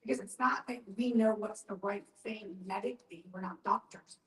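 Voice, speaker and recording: A woman's voice: mid-range voice, normal speed, fairly bright tone, recorded from a distance. A woman in a conversational group discussion, explaining things and often voicing example questions aloud. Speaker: panel speaker on end-of-life care. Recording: echoey room.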